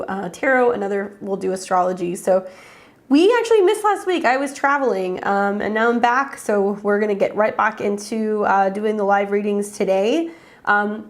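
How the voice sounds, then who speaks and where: Congested woman, astrologer hosting a livestream, chatty, lots of ums, greets viewers. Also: mid-range voice. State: congested with a cold. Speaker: astrologer hosting live readings. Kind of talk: casual livestream chat.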